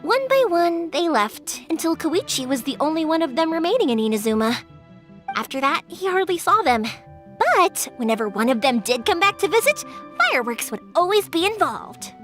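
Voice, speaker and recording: A lively young fireworks maker. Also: female voice, very high voice, moderate pace, soft background music, full character voice. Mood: cheerful. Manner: lively, chatty and warm-hearted storyteller. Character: cheerful young woman fireworks maker, friendly and bold. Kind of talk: video game voice acting.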